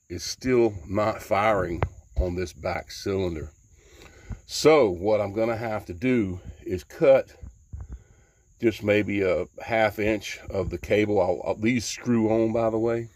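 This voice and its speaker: Deep-voiced man, folksy and rambling. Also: a little mellow. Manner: folksy, rambling, many fillers. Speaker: DIY mechanic. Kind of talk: casual how-to walkthrough. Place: outdoors beside a jet ski.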